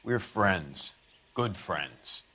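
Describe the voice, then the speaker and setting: A man's voice: sits low, expressive, very slow, pushed and bright, full character voice. An actor playing a testy, guarded American man in a 1940s crime video game, terse and a little smug. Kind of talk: dramatic video game acting.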